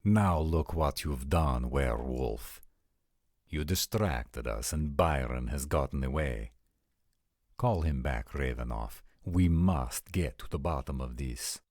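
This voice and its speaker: Man acting a haughty, very deep-voiced vampire. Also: expressive, darkish tone, dry recording, clean studio sound, heavily acted. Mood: stern, commanding. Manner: formal, measured, imperious. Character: haughty, commanding male vampire, coolly formal. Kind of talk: scripted roleplay audio drama.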